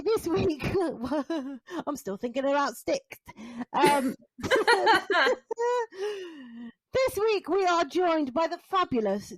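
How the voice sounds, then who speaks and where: A woman's voice: sits high, no echo, poor audio. A woman co-hosting a podcast in a casual, chatty way, joking and self-deprecating. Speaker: podcast co-host.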